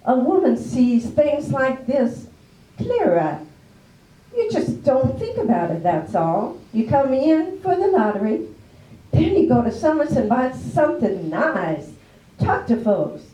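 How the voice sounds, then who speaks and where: A woman acts a complacent housewife in a stage play, gently chiding. Her voice is high, lilting and unhurried, heard in an echoey room. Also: big pitch swings, heavily acted. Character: practical, complacent small-town housewife. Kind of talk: amateur readers' theater stage acting. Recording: roomy echo, distant microphone.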